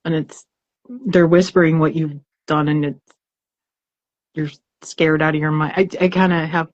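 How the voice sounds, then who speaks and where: A woman's voice: deep voice, level tone, unhurried, dry recording, lo-fi sound. A woman co-hosting a poetry reading, talking casually and expressively as she searches for her words.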